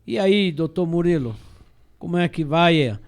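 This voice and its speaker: A man's voice: on the high side, expressive, unhurried. A middle-aged man co-hosting a podcast, talking in a jovial, easygoing way. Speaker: podcast co-host, host's father.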